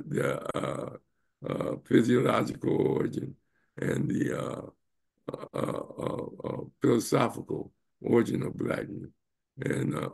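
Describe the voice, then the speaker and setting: A gravelly, husky-voiced man in his seventies hosts a talk show. He talks emphatically and drifts between points, with lots of fillers. He sounds like he is on a video call. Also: medium pitch, a little mellow. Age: 70s. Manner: emphatic, wandering, many fillers. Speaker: show host and professor. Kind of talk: casual interview talk.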